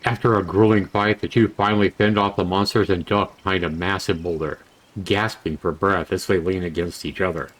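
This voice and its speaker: A man with a fairly low voice talks through a video game in a casual, conversational way. He switches between relaxed remarks and reading the story text aloud. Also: a little fast, rough recording. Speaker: game reviewer and streamer. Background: game music.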